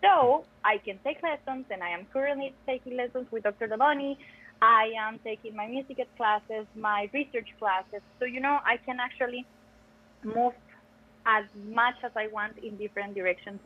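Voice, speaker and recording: A woman's voice: a little high, normal speed, breathy edge, lo-fi sound. A chatty, emphatic young woman with a Costa Rican Spanish accent, a native Spanish speaker, chatting as an interview guest. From Costa Rica. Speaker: podcast guest, music education PhD student. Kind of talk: casual interview chat.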